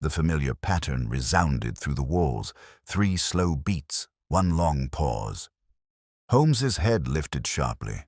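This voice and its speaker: A man with a very deep voice reads a mystery story aloud. His delivery is measured and dramatic, with plenty of movement in pitch. The sound is dry. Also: sing-song, a little mellow, no echo. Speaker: audiobook narrator. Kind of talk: dramatic scripted mystery narration.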